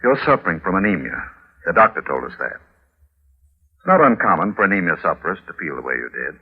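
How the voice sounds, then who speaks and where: An actor playing a brisk, authoritative police inspector in a 1950s radio drama, matter-of-fact and dismissive. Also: male voice, sits low, very animated, husky, exaggerated voice. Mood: explanatory. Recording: heavily muffled, tinny old radio recording.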